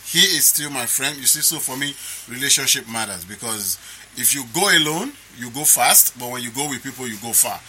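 Nigerian man with a bright voice. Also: mid-range voice, expressive, a little fast, a little hiss or hum, rough recording. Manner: warm, emphatic, repeats himself. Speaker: comedian guest. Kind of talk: casual interview chat.

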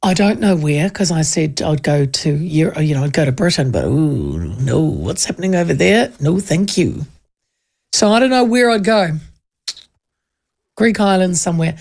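Kiwi woman, talkback host, gravelly voice, chatty and self-mocking. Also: low-pitched, expressive, normal speed, a little mellow. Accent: New Zealand. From New Zealand. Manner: chatty, self-deprecating, laughs often. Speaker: talk radio host. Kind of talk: casual talkback radio chat reading texts. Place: radio studio. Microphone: studio microphone.

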